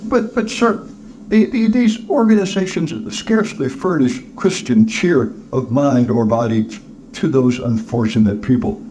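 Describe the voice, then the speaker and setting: A man voicing a polite, earnest gentleman charity collector in a storybook, formal, deferential and stammering. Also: medium pitch, animated, measured pace, a little hiss or hum, lightly acted, poor audio. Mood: hesitant, pleading.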